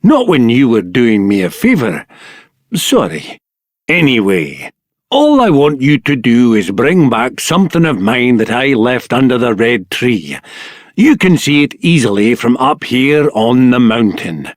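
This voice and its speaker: An actor with a British accent playing a gruff, short-tempered mountain workman in a video game, blunt and conversational. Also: mid-range voice, sing-song, a little slow, dry recording, clean studio sound. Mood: sheepish. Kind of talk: video game character acting.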